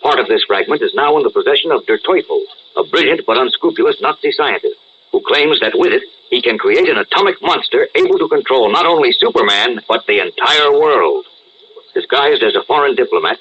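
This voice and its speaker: A man's voice: medium pitch, expressive, brisk, breathy edge, highs cut off. A man narrating a 1940s-style radio serial as its announcer, with dramatic urgency. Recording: old radio broadcast recording.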